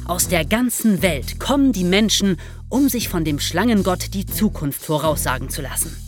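A woman's voice: fairly low pitch, lively intonation, moderate pace. Woman narrating over faint music. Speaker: podcast host and narrator. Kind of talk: scripted storytelling.

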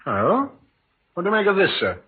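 An actor playing an eager, deferential police sergeant in an old radio drama, brisk and respectful. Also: male voice, high-pitched, huge pitch swings. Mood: keen. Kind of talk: 1950s radio drama acting. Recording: tinny, noisy old radio recording.

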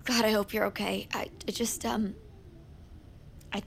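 An actress playing a vulnerable young heroine in a horror audio drama, speaking naturally in a halting, emotional way. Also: female voice, medium pitch, flat delivery, vocal fry, slight background noise. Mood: anxious, halting. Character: anguished, hesitant young woman, self-sacrificing heroine. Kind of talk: naturalistic audio fiction acting with narration.

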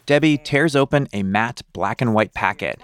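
A man hosting a podcast, reading scripted narration in a lively, playful storytelling way. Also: mid-range voice, moderate pace, clear, resonant tone.

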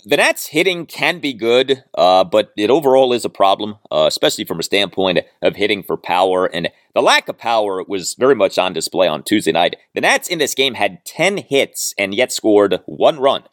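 A man hosting a solo sports podcast, speaking in an emphatic, deliberate way as he delivers commentary and reads. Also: on the low side, sing-song, a bit bright, no echo, studio quality. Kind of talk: solo sports commentary and host-read ads.

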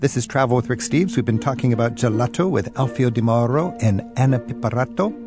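A man in his sixties hosting a radio travel call-in show, chatty and quick to laugh. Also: mid-range voice, animated, music in the background. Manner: friendly, chatty, laughs easily.

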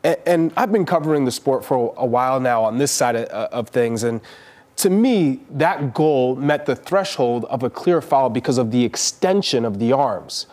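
A man's voice: mid-range voice, animated, moderate pace, darkish tone. A man on a podcast pressing for answers, sounding confused and incredulous. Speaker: podcast co-host. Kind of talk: podcast discussion.

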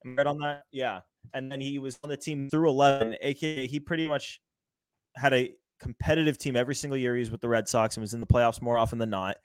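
A man's voice: medium pitch, steady tone, quick pace, smooth, no echo, low-quality recording. Late-20s male podcast host, loose rambling delivery, dead-dry room. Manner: rambling, many "like" fillers. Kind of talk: casual sports podcast chat.

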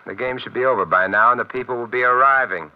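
An actor playing a suave, wry amateur detective with smooth, urbane, drily teasing delivery in 1950s radio drama style. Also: male voice, medium pitch, fast, close to an everyday voice. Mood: wry, in command. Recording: muffled vintage radio recording.

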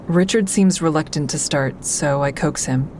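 Low-voiced, wry woman actor over noisy rumble. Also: darkish tone, natural voice. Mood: wry, watchful. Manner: measured, coaxing, dryly frank. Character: calm, wry woman taking crisis calls, narrating her thoughts. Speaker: narrator and crisis-line listener. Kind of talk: full-cast audio drama, first-person narration and dialogue.

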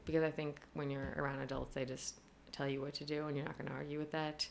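A woman's voice: low voice, flat delivery, fast, vocal fry, a little hiss or hum. A young woman being interviewed as a guest, chatty and casual, with plenty of fillers and frequent laughs. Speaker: costume designer, guest.

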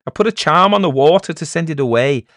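A man's voice: fairly high pitch, lively intonation, fast, a bit bright, dry recording, clean studio sound, pitched above the performer's own voice. A man voicing a big, proud village leader in a storybook tale, commanding, blustering and mocking. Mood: scornful, triumphant. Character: big, domineering village man, gruff, scornful, proud charm-worker. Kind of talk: storybook character voice in a narrated tale.

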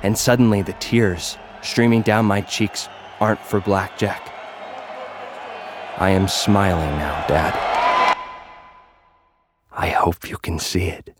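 A man's voice: sits low, fairly even intonation, slow, long pauses, crowd noise, noisy background. An actor playing a battered young gladiator influencer, narrating his own story in a terse, dramatic, grimly intense way. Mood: anguished. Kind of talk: first-person audio fiction narration. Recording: prominent music under the voice.

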